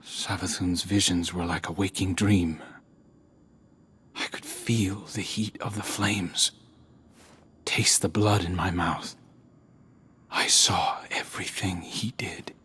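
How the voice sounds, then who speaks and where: A man playing a brooding, remorseful reborn prince in a video game, grave and breathy. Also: deep voice, fairly bright tone, full character voice. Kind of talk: video game cutscene acting.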